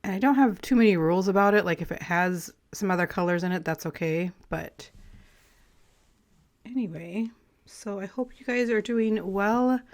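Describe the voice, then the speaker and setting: Woman vlogger, slightly raspy and throat-clearing, relaxed rambling crafting chat. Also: medium pitch, moderate pace. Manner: relaxed, rambling, friendly, with light laughs. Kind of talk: casual chatty voice-over while collaging.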